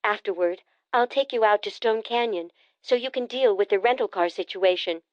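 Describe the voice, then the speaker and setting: A woman narrator voicing a brisk, teasing adult sister in an audiobook, pushy and quick to needle. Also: mid-range voice, no echo, dull audio, lightly acted. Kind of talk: audiobook character voice.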